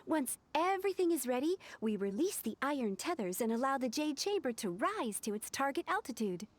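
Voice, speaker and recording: A woman's voice: high-pitched, big pitch swings, a little fast. A woman playing a diligent, courteous young female official in a video game, explaining things formally.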